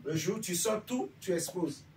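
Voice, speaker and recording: A man's voice: sits high, animated, a little slow, a little mellow. A man from Benin preaching informally to a congregation, talking conversationally and often checking that his listeners follow him. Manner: conversational, asks listeners for agreement. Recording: distant mic with faint background noise.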